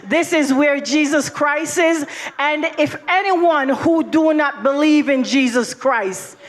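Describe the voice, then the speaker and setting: Jamaican woman, high voice, fervent repetitive praise testimony. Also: slow. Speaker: testimony giver. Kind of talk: church healing testimony.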